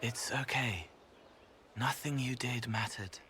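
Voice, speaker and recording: An actor playing a gentle young male spirit in a video game, speaking in halting, dreamlike fragments of poetry. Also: medium pitch, slight background noise. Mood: earnest, soothing. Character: gentle young male spirit who senses others' pain.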